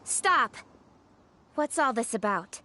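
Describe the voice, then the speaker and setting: A woman's voice: a little high, lively intonation, slow pace, bright, forceful tone, exaggerated voice. A woman voicing a proud, forthright young noblewoman official in a video game, speaking in an assertive, formal and articulate way. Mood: commanding. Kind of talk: video game character acting.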